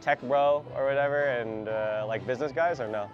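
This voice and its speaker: A man's voice: medium pitch, moderate pace. A young man from the United States talking casually with a stranger in a mall clothing store, hesitant, repeating words and laughing nervously. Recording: slightly dull audio with faint music.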